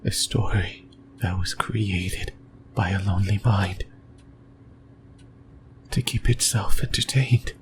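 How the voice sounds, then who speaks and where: Man acting a slow, whispering, lonely demon. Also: deep voice, fairly even intonation, noisy background. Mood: eerie, musing. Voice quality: breathy whisper. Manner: slow, halting, rambling, intimate. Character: lonely, possessive male sleep paralysis demon, musing and uncertain. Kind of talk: creepy whispered ASMR roleplay monologue. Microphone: close microphone, whispering into the ear.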